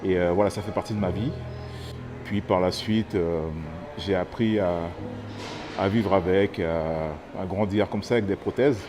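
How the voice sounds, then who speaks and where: A man in his thirties from French Guiana, telling his own story haltingly, with many fillers and restarts. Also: sits low, steady tone. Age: 30s. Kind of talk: personal testimony to camera. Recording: music playing under the voice.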